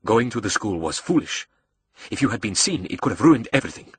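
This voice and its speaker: A man plays a cold male villain mastermind for an audiobook. He is low and husky, speaking softly and formally, measured and controlling, with an edge. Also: very animated, no room sound, exaggerated voice. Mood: quietly menacing. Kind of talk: audiobook character dialogue.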